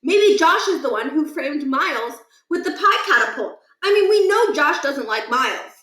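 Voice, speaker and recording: Woman as earnest polite school kid boy in a storybook, high animated voice, roomy sound. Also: normal speed. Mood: persuasive. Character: earnest, persuasive schoolboy, polite student helper.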